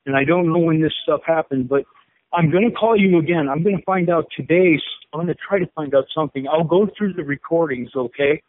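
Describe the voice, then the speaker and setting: A man's voice: on the high side, a little fast. A man in his fifties with a Chicago accent, stammering and upset as he talks on a phone call. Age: 50s. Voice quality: stammering, repeats words. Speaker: caller. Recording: tinny phone line.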